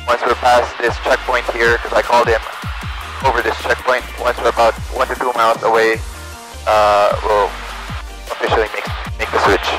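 A man's voice: medium pitch, level tone, normal speed, low-quality recording. A young man, a student pilot, narrating his flight casually and making radio calls over an aviation headset. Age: young adult. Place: small propeller plane cockpit in flight. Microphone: aviation headset boom mic. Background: music.

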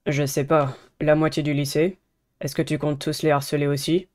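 Surly high-school rebel boy played by a woman, low pitch, curt and irritated, for a dating game. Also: little pitch movement, moderate pace, darkish tone, natural voice. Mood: sarcastic.

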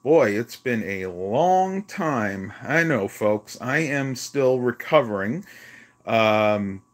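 A man's voice: mid-range voice, lively intonation, takes it slowly. A man, chatting casually on a home live stream while losing his voice, rambling with many ums, filmed on a phone at arm's length in a small bedroom. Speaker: vlogger. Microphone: phone held at arm's length.

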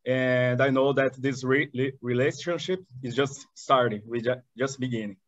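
A man in his thirties from southern Brazil, a Portuguese speaker with a Brazilian accent, talking eagerly as an interview guest in halting English with many restarts. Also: medium pitch, fairly even intonation, dry recording. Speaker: guest, chamber of commerce director. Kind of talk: video call interview. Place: indoor room in front of curtains. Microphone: video call webcam. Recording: dull dry webcam audio.

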